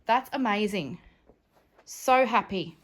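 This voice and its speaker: Croaky Australian woman thinking aloud. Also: medium pitch, expressive, slow. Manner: thinking aloud, chatty, self-correcting. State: croaky from allergies. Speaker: homestead vlogger. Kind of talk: casual DIY vlog chat. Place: home, indoors.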